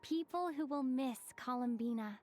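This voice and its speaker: Game role: earnest young traveler, female actor. High-pitched, sincere farewell style over soft music. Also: normal speed, close to an everyday voice. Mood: heartfelt. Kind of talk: scripted emotional game dialogue. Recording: music behind the voice.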